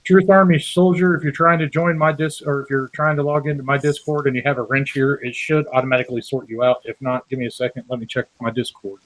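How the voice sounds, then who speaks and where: A man hosting a live-stream hangout, briskly sorting chat admin while he talks. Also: mid-range voice, flat delivery, a bit bright, no echo, low-quality recording. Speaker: channel host.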